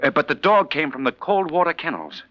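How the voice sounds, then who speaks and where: A man's voice: on the high side, animated, brisk, no room sound, lightly acted. A man playing a desperate, anxious professor in a 1940s radio drama, stammering and pleading. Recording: muffled, dry old radio recording.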